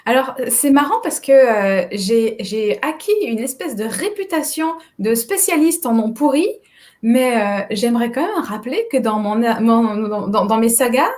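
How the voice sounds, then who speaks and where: A woman's voice: fairly high pitch, expressive, moderate pace, darkish tone. A woman hosting a live chat as an author, talking chattily and hesitantly with frequent fillers. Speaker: author host.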